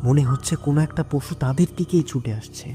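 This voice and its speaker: A man's voice: on the high side, fast. Male horror story narrator over music.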